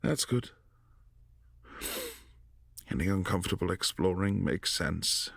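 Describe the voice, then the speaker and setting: A brooding, possessive vampire prince, voiced by a man in a boyfriend ASMR audio drama. His voice is low and husky, with a soft, hushed delivery that often drops to a whisper. The recording is close and dry, with no room sound. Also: takes it slowly, no echo, full character voice. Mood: calm, concerned.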